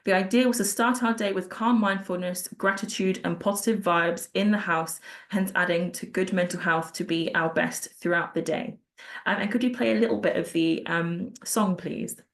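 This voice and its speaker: A woman with a slightly low voice hosts a panel discussion over a video call. She speaks in an appreciative, affirming way as she guides the conversation. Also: fairly even intonation, moderate pace. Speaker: panel host. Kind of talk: hosting an online panel discussion.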